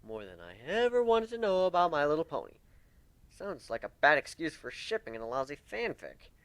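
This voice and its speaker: A man's voice: on the high side, sing-song, brisk, a bit bright, clean tone, no echo, pitched above the performer's own voice. A man voicing a wounded male griffin captain in a story, wry, plaintive and emphatic, blaming himself yet devoted. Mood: incredulous, wry.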